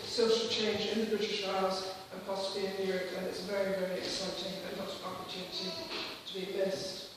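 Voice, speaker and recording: A woman's voice: medium pitch, flat delivery, a little fast, hardly any pauses. A woman from Switzerland giving an informal, earnest, somewhat hesitant speech at a public meeting. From Switzerland, grew up in Geneva. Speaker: campaign speaker. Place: school hall at a public meeting. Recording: echoey hall, distant mic, faint noise.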